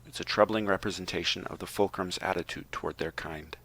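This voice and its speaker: A low-pitched man with a bright, sharp tone reads a prepared summary on a podcast. His delivery is tongue-in-cheek, dropping playful asides between plot points. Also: a little fast, slightly rough, dry recording. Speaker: podcast co-host reading a book synopsis.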